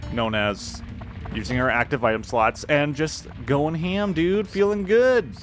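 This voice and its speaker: A man's voice: on the high side, unhurried. A man giving casual, rambling, self-deprecating commentary while playing a video game. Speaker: gaming video host. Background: music.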